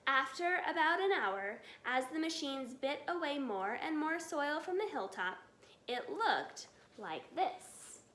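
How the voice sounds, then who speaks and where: A woman giving an expressive children's read-aloud. The voice is high and very animated, taken at an unhurried pace. The room adds a noticeable echo. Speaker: storybook reader.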